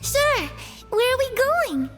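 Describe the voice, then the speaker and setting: A woman's voice: very high-pitched, sing-song, takes it slowly, a bit bright, heavily acted. A woman voicing a tiny, chirpy, nosy childlike fairy companion in a video game. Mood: curious. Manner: refers to itself in third person, exclaiming. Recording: music behind.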